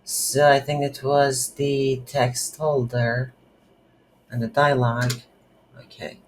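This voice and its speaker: A game development instructor with non-native English talks slowly through an unscripted coding tutorial. The delivery is halting, thinking aloud at a medium pitch. Some faint noise and keyboard typing sit behind the voice. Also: flat delivery. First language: not English. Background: computer keyboard typing.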